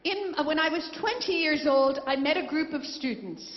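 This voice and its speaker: A woman giving a faith testimony, telling her story in short phrases and pausing for an interpreter. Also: high voice. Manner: animated storyteller, pauses for interpreter. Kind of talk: testimony talk with live interpretation. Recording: echoey hall, dull sound.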